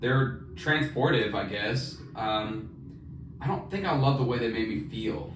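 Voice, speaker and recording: A man with a medium-pitched voice chats casually as a podcast co-host. His talk is laid-back and slangy, like bantering with a buddy. He sits away from the mic in an echoey space with clear background noise. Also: lively intonation, unhurried, a bit bright. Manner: laid-back slangy, says "dude" and "bro". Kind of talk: casual chat about books. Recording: very noisy, echoey room, distant mic.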